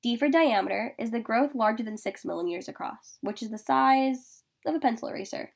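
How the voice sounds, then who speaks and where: A young woman talks through her class slides with a stuffy, congested voice. Her fairly high voice has a gravelly fry underneath as she reads steadily through lists of facts. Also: fairly quick. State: congested from a sinus infection. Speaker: student presenter. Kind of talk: informational class presentation over slides.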